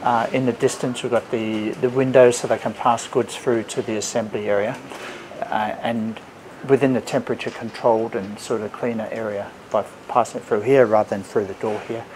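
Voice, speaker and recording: A man's voice: on the low side, flat delivery, fairly quick. A middle-aged man hosting a tour in a casual, agreeable and approving way, chatting on the spot. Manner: agreeable, approving short responses. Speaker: host of factory tour. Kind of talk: casual on-site tour chat. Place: indoors in electronics factory rooms and assembly hall. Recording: noisy factory ambience, handheld camera sound.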